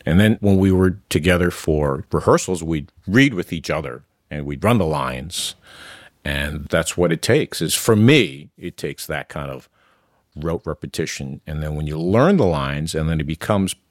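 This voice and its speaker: Very deep-voiced man, dry sound. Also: expressive, normal speed, dry recording. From New York City. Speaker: interview guest, amateur actor. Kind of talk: conversational interview.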